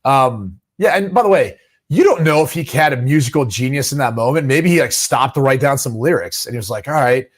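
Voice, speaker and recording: Lively teasing man, sports podcast co-host. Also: medium pitch, fairly quick. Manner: teasing, laughs often, lively banter. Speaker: podcast co-host, former player. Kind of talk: casual sports chat.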